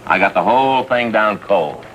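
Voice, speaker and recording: Male, American; eager, self-satisfied detective partner, animated pitch, bright tone, vintage TV sound. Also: moderate pace. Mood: cheerfully boastful. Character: cheerful, boastful, earnest male police detective sidekick. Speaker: supporting actor. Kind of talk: 1950s TV police drama acting. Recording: faintly noisy vintage TV soundtrack.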